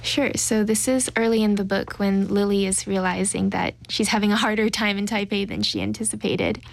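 A woman novelist talks in a literary interview, reflective and articulate. Her mid-pitched voice carries a strong creak and is recorded very dry, with little room around it. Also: fairly even intonation, fairly quick, no echo, low-quality recording. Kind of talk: thoughtful literary interview talk.

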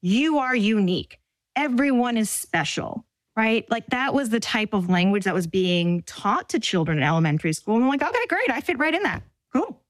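A woman in her forties from Staten Island, New York, telling her story in an interview in thoughtful, measured phrases with pauses. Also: fairly high pitch, sing-song, dry recording. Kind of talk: reflective personal storytelling.

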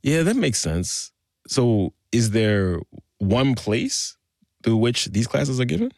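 A man hosting a conversational news podcast, quick, wry and incredulous. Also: mid-range voice, very animated, a little mellow, no echo, clean studio sound. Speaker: podcast host.

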